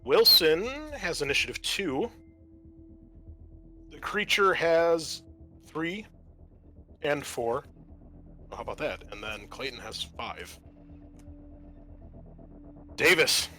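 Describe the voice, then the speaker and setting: Male game master, bright, forward voice, explaining and narrating to players, music underneath. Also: fairly high pitch, lively intonation, slow, pauses often. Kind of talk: narrating a tabletop roleplaying game.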